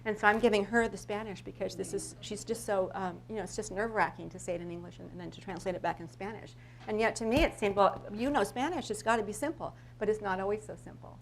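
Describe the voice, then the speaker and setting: A woman's voice: mid-range voice, steady tone, quick pace, gravelly. An American woman giving an informal live presentation as a school principal, telling stories in a conversational, rambling way and often rephrasing mid-sentence. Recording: live talk with background noise and hum.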